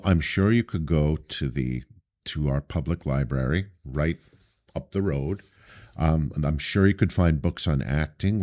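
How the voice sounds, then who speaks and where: A man from Brooklyn, a theater director being interviewed, with a deep voice and a thoughtful, storytelling manner over a video call. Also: a little mellow. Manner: thoughtful, anecdotal, hesitant starts. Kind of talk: casual interview.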